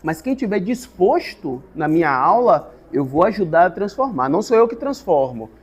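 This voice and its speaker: A man, a guest teacher giving a thoughtful, halting interview answer with many restarts. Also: sits high, very animated, husky. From Salvador, Brazil.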